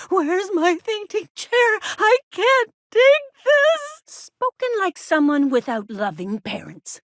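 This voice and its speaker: High-pitched, fretful, smothering frontier mama. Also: a bit bright, no echo, clean studio sound. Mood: anguished, then scolding. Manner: melodramatic, fretful, folksy. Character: doting, smothering, anxious middle-aged frontier mother. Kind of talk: video game character dialogue.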